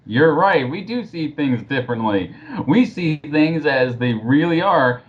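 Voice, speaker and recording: A man's voice: medium pitch, dull audio. A man co-hosting a commentary show, blunt, sweary and scornful as he talks and reads out replies. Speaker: reviewer, co-host of a commentary show. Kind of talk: casual commentary and scornful read-aloud.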